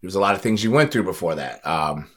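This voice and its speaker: A man's voice: low voice, animated, fast talker, fairly bright tone. A middle-aged man hosting a casual interview, talking in a loose, rambling way with plenty of fillers and restarts. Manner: laid-back, rambling with many restarts and fillers. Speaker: podcast host and former wrestler.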